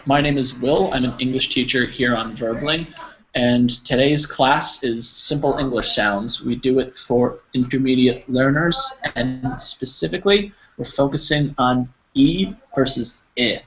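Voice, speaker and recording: A man's voice: mid-range voice, a little slow. An American man teaching an online English pronunciation class, using simple wording and checking with his learners. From United States, New Jersey. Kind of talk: live online group pronunciation lesson. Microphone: video call, close to computer. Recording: dull lo-fi online call, clear noise.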